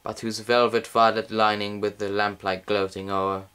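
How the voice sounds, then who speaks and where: A man's voice: on the low side, little pitch movement, normal speed, fairly bright tone, clear, resonant tone. A man reading a gothic horror poem aloud as an amateur, with solemn, theatrical phrasing. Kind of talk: dramatic gothic poetry recital.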